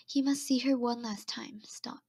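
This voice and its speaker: Woman doing a shy polite maid in an old-timey audio play, whispery and crackly, fairly high, hesitant. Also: steady tone, moderate pace, gravelly, darkish tone, husky. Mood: careful reading. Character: meek, deferential young servant girl. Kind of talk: period audio drama acting.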